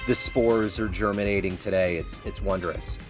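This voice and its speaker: A man's voice: low voice, fairly even intonation, moderate pace, over music. A man hosting an interview podcast, asking questions over a call and reacting with enthusiastic affirmations. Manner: effusive, frequent yeah affirmations. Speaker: podcast host. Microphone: video call.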